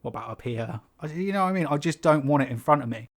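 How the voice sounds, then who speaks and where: A man, a YouTube creator, talking casually and rambling with fillers and asides; his voice is sibilant and his throat is rough. He is in a small carpeted home room with foam on the walls, recorded on a condenser mic overhead. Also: a little high, lively intonation, fast talker. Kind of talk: casual unscripted talk to camera. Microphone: pencil condenser on a stand above the speaker.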